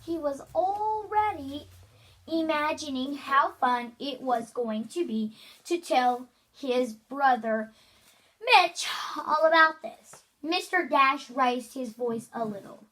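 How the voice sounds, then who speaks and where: Casting: child reader, high voice, very slow read-aloud, stumbles on words. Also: sharp tone, low-quality recording. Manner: halting, stumbles over words. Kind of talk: reading a children's book aloud.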